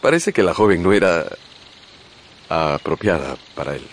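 An actor playing an affable, chatty gentleman colonel in a radio drama, courteous and conversational. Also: deep voice, sing-song, moderate pace, exaggerated voice. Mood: breezy, gossipy.

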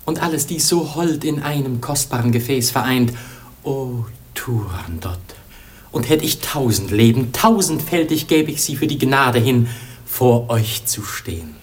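An actor playing an ardent, confident young prince in a German radio play, declaiming poetically and rapturously. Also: male voice, medium pitch, animated, a little slow, natural voice. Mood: enraptured.

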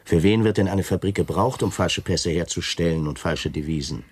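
A man's voice: low voice, normal speed, breathy edge, dry recording. An actor playing a stiff, self-important, hesitant male camp commandant in a German radio play. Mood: sceptical, reluctant. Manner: solemn, pompous, hesitant.

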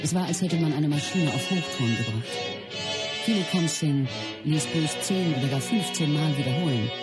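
A man acts an earnest associate narrating a life story in a documentary radio play. His pitch sits a little high, and music runs prominently behind him. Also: normal speed, natural voice. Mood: earnest, reflective.

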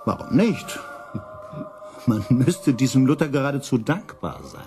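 An actor playing a scheming, pragmatic pope in a German radio play, speaking in a deliberate, theatrical way. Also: male voice, mid-range voice, expressive, a little mellow, faint music behind, lightly acted. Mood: calculating. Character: scheming, pragmatic Pope plotting church politics.

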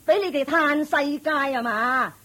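A woman's voice: high-pitched, unhurried, sharp tone. A woman playing a haughty, domineering rich matriarch and mother-in-law in a radio drama, scolding and mocking. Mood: scornful. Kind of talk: Cantonese radio drama acting.

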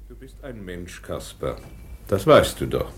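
A man playing a kindly, patient professor in a radio drama, explaining things gently and simply. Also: deep voice, sing-song, measured pace, a little hiss or hum. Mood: gentle, patient. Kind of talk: German radio drama acting.

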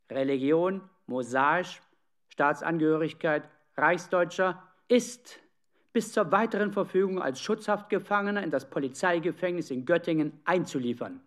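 An actor playing a cold bureaucratic clerk, reading official orders formally and impersonally in a radio drama. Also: male voice, on the high side. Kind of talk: official document read aloud in radio drama.

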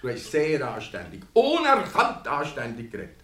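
Ein Schauspieler mit Schweizer Akzent spielt in einem Hörspiel einen groben, spöttischen, ungeduldigen Bauern. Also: male voice, mid-range voice, huge pitch swings, moderate pace, a bit bright, reverberant. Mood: blunt, sneering. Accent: Swiss. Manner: sneering, blunt. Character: coarse, mocking, impatient male village farmer. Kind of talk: radio play acting.

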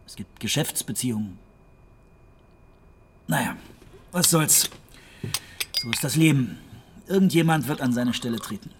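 An actor playing a matter-of-fact, world-weary underworld go-between in a radio drama, blunt and resigned. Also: male voice, mid-range voice, lively intonation, measured pace, pauses often, noisy background, lightly acted. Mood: cynical, resigned. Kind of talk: German radio drama acting.

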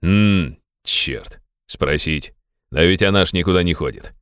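A man voicing a gruff, bossy, impatient visitor who grumbles and bellows in an audiobook of a classic novel. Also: deep voice, measured pace, fairly bright tone, dry recording, studio quality. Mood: irritated.

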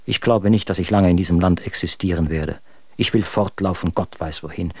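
An actor playing a bitter, world-weary husband, reading his letters in a measured, literary way for a radio drama. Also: deep voice, breathy edge, no room sound. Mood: despairing, disgusted.

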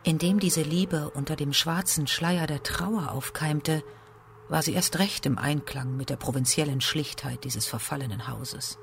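Higher-pitched, creaky male narrator. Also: unhurried, fairly bright tone, a little hiss or hum. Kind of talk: scripted literary narration for radio drama.

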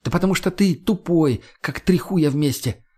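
A man's voice: fairly high pitch, moderate pace, a little mellow, husky, pitched above the performer's own voice. A man voicing a brash, sarcastic, foul-mouthed young woman in an audiobook, slangy and argumentative. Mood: bossy. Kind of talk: audiobook character voice.

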